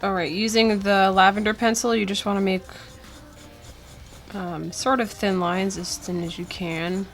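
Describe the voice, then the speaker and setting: A woman's voice: mid-range voice, a little slow, faint music behind. A young woman hosting a drawing tutorial, talking casually with many ums, her voice still weak from a cold. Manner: relaxed, chatty, with many ums and uhs. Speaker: art tutorial host. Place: indoors at a drawing desk.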